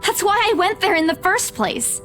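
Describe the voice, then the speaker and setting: A woman voicing a confused young woman in a horror visual novel, speaking softly and hesitantly. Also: very high-pitched, big pitch swings, moderate pace, soft background music, exaggerated voice. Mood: uneasy. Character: dazed young woman, real estate trainee, confused. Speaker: voice actor for the heroine.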